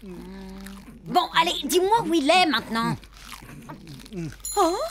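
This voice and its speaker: A woman voicing a bossy, sharp-tongued young female animal in a French children's cartoon, pushy and demanding. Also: very high voice, sing-song, slow, exaggerated voice. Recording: music behind the voice.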